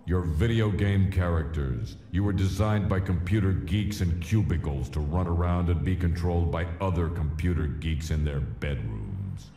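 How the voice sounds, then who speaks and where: Very deep, echoing slacker God. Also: male voice, moderate pace, hardly any pauses, mellow. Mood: smug, casual. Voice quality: very deep, gravelly. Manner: slangy, casual slacker talk. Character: God as a laid-back, self-absorbed adult slacker gamer. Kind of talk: cartoon video game voice acting. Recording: roomy echo with music behind.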